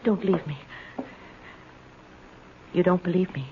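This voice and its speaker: An actress playing a frightened, lonely, confused woman who stammers and pleads in a 1970s radio drama. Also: fairly low pitch. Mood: shaken, insistent. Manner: halting, stammering, tremulous pleading. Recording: dull, noisy 1970s radio recording.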